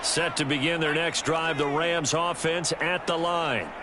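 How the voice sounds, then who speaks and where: A man playing an American play-by-play announcer, calling football in a composed, brisk way for a video game. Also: on the high side, fairly even intonation, lightly acted. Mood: engaged, composed. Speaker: play-by-play sports commentator. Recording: loud noise behind the voice, game stream.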